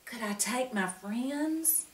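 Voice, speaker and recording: A woman's voice: fairly high pitch, animated, takes it slowly, voice pushed up. A woman voicing a sheepish young male wooden puppet who speaks hesitantly. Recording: roomy room, distant mic.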